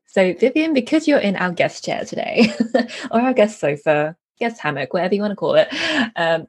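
A woman's voice: medium pitch, slightly rough. A woman hosting a podcast interview in a chatty, informal and giggly way. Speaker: podcast host. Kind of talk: casual conversational interview.

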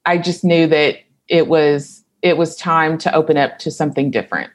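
A woman's voice: sits low, normal speed. A woman from Kentucky, an entrepreneur and podcast guest, talking reflectively and thinking aloud. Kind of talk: casual interview talk.

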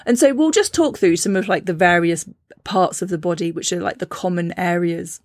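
A woman's voice: fairly low pitch, normal speed, no echo. Chatty woman, dry close sound. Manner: chatty, laughs often, acts out her own thoughts. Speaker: podcast host. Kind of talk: personal storytelling monologue.

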